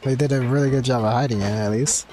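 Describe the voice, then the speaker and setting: Quick-talking male game streamer. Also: medium pitch, fairly even intonation, warm tone, slight background noise. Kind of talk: casual live commentary. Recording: game music behind voice.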